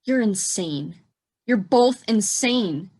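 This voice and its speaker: A woman's voice: mid-range voice, expressive, takes it slowly, darkish tone, breathy edge, exaggerated voice. A woman acting in an audio drama as a bitter, defiant young murder suspect, indignant and sardonic. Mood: scornful, accusing.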